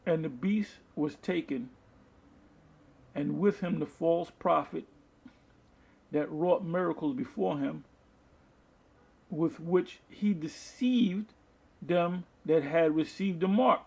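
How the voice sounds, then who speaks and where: A man preaching from the Bible, reading verses aloud and explaining them in a halting, deliberate manner, with a husky, gravelly voice. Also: on the high side, darkish tone, poor audio. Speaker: street-style Bible preacher. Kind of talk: Bible teaching with scripture read aloud and commentary.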